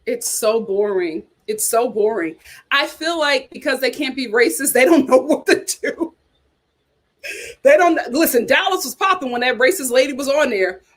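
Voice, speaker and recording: Young female host, sassy casual commentary, bright high register. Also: moderate pace. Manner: sassy, animated, talks straight to viewers.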